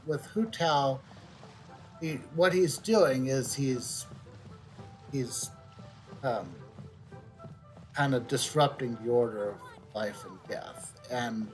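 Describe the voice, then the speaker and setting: A middle-aged man giving casual, unscripted video game commentary, halting and reflective, repeating words as he thinks. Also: medium pitch, low-quality recording. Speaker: game streamer. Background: soft video game music.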